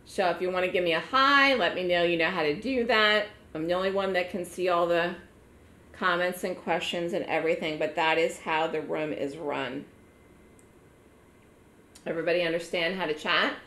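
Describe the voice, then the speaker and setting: A hoarse woman giving a live online trading lecture to her trading room, chatty and repeating words for emphasis. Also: fairly low pitch, normal speed, a bit bright, reverberant. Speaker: trading educator running a trading room.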